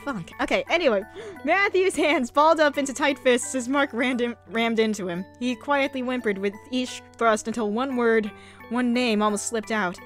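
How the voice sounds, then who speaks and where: A woman's voice: a little high, moderate pace. A giggly, flustered woman streamer reading her own fan fiction aloud, stumbling over words and breaking into chatty asides. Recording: music box music behind.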